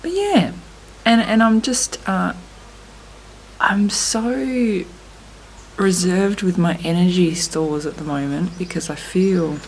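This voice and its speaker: Croaky, low, slow-talking woman. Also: darkish tone, slight background noise, low-quality recording. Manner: thoughtful, candid, many pauses and fillers. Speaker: musician vlogging at a festival. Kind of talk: reflective casual vlog talk.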